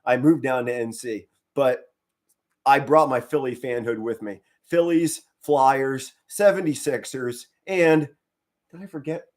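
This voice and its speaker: A middle-aged man from Pennsylvania commentates a game live for his stream, his voice hoarse and worn. He speaks low and restrained to spare his throat, then breaks into short excited bursts. His pitch sits in the middle of the male range. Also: a little slow. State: hoarse, straining to save his voice. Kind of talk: casual live play-by-play commentary.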